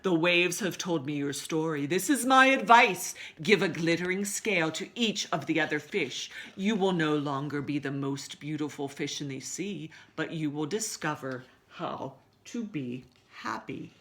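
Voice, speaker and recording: A woman's voice: low-pitched, expressive, a little slow, few pauses, a bit bright. Woman playing a wise, solemn female octopus.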